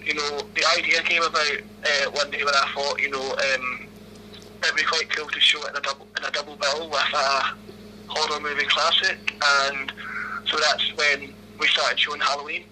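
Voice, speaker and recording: A man's voice: fairly high pitch, little pitch movement, moderate pace, sharp tone, a little hiss or hum. A man in his twenties with a Glaswegian accent, answering interview questions over a phone call in a hesitant, laughing way, full of ums and restarts. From Scotland. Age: 20s.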